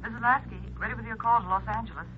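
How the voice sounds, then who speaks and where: Fast-talking telephone operator. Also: female voice, fairly high pitch. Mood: brisk, polite. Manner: formulaic, businesslike. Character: brisk, polite long-distance telephone operator. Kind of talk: 1940s radio drama acting. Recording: muffled, noisy old radio recording.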